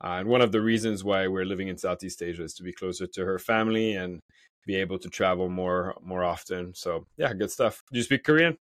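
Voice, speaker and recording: Host: man, lowish voice, dry close sound, chatty interviewer. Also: fairly quick, no echo. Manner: friendly, chatty, asks leading questions. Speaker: podcast host. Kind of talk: casual conversational interview.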